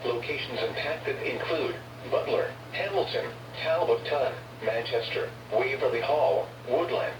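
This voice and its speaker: A man's voice: medium pitch, unhurried. A man with a formal, stilted, synthetic-sounding delivery reads a scripted emergency weather bulletin over a radio broadcast. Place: recorded off a radio receiver. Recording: noisy, echoey, distant weather radio reception.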